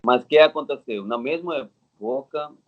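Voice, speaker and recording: Indian guy in his 30s w indian accent telling his story, halting simple phrases, kinda muffled lo-fi sound. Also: mid-range voice. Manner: halting, non-native Portuguese with simple phrasing. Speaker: interview guest. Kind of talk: casual personal storytelling. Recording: dull, lo-fi audio.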